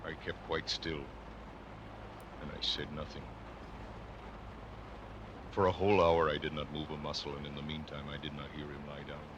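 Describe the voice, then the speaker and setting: An actor playing a nervous, cunning, mad murderer confessing in a theatrical horror monologue from a classic radio play, swinging between loud declaiming and hushed whispers. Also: male voice, level tone, normal speed, long pauses, muffled recording. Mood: hushed, tense. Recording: noisy old radio recording, vehicle rumble. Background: vehicle noise from a driving game.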